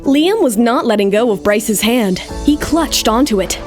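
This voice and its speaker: A woman's voice: a little high, lively intonation, a little slow. A woman narrator telling a scripted dramatic story, with music playing behind her. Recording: loud music under the voice.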